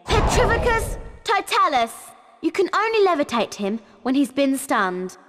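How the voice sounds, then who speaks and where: A woman's voice: high-pitched, sing-song, measured pace, fairly bright tone, long reverb tail, soft background music, heavily acted. A woman voicing a keen, bossy young schoolgirl witch in a video game, earnest and instructive. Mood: brisk, instructive. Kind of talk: video game character acting.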